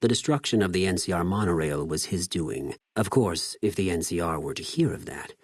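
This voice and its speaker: An actor playing a cold, cunning spy of a Roman-styled slaver army in a video game, speaking in a measured, formal, menacing way with precise diction. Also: male voice, deep voice, expressive, slightly rough, no room sound, studio quality. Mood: cool, calculating. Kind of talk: scripted video game character dialogue.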